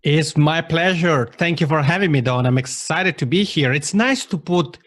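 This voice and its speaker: A man's voice: normal speed, no echo, studio quality. A man in his thirties from Switzerland with an Italian accent, a guest talking casually in an interview. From Italian-speaking Switzerland. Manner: animated, jumps up in pitch on quoted thoughts. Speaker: podcast guest. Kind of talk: conversational interview.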